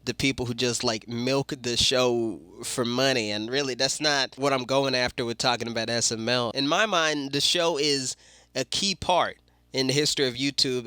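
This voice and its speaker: Sick young man, bright dry commentary voice. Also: mid-range voice, clear, resonant tone, no echo. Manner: casual slangy talk with swearing and fillers. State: sick, voice sounds off. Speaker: YouTube commentary host. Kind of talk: casual commentary talking to camera.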